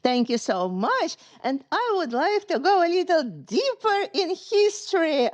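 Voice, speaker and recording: A woman hosting a podcast, reading a formal introduction and asking measured, polite questions. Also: very high-pitched, big pitch swings, no echo, muffled recording. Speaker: podcast host. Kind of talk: podcast interview and scripted intro.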